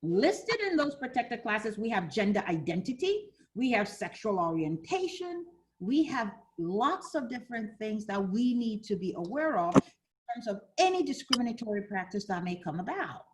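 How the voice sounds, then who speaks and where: A woman's voice: a little high, big pitch swings, normal speed, noisy background. A woman from Ghana teaching a fair housing class for realtors, role-playing buyers and agents as she talks. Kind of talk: interactive training class.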